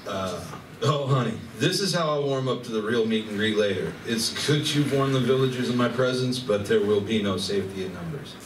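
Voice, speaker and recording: A man's voice: medium pitch, a little fast, hardly any pauses, natural voice. Man acting a brash, swaggering party animal, echoey room. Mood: boastful, tipsy. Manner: sarcastic, swaggering. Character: brash, hard-drinking, teasing male space-crew party animal. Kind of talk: live amateur radio play acting. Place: large indoor room at a convention. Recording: echoey, noisy convention room from far off.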